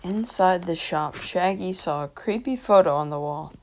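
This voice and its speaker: A woman's voice: fairly low pitch, moderate pace. A young woman reading a children's mystery story as scripted narration. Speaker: storybook reader.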